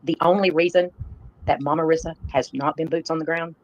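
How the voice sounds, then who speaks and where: Lady hosting a livestream, kinda halting and earnest, muffled lo-fi audio. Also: sits low, fairly even intonation, slight background noise. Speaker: live stream host. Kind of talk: livestream address to viewers. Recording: dull, lo-fi livestream playback.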